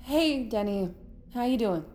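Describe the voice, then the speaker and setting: A woman's voice: a little high, expressive, unhurried, a little mellow. An actress playing a wry, playful young woman in an audio drama, with a jokey manner. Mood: excited.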